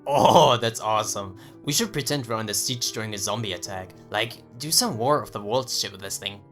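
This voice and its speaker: A man playing a young American slacker friend in an audio story, sarcastic, slangy and excitable. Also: on the low side, fairly quick, faint music behind, lightly acted. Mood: amused, scoffing. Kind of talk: dramatized audio story dialogue.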